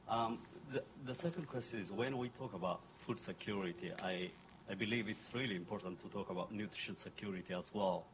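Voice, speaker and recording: A man's voice: deep voice, slight background noise, rough recording. A man from Ethiopia in the audience, carefully asking the panel two questions.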